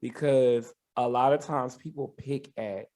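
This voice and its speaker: A man's voice: medium pitch, fairly even intonation, a little slow, a little mellow. A man from Tennessee with a Southern US accent and a lisp, talking candidly as a guest in a podcast interview. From Tennessee, United States. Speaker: guest, R&B soul singer. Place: studio.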